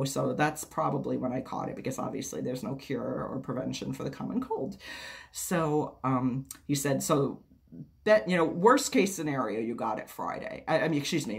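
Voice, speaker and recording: Woman vlogger, raspy from a cold, gravelly, just rambling on casually and cussing. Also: rumbling low. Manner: chatty, rambling, swears casually. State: hoarse from a cold. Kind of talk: casual chat to camera.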